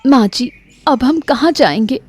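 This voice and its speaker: A woman's voice: high-pitched, animated, unhurried, darkish tone, breathy edge, soft background music, voice pushed up, exaggerated voice. A woman voicing a worried young daughter-in-law in a moral story, anxious and respectful.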